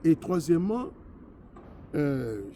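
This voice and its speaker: Congolese guy doing a political speech, kinda high but soft mellow voice, emphatic, bit of noise behind. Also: sing-song, slow pace. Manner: emphatic, repetitive, switching between French and Lingala. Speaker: politician, former member of parliament. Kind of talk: impassioned political address.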